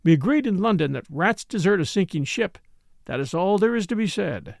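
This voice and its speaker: A man reading aloud voices a sulky, cool English gentleman with clipped, formal replies. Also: high-pitched, expressive, a little fast, gravelly, no room sound, studio quality. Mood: curt.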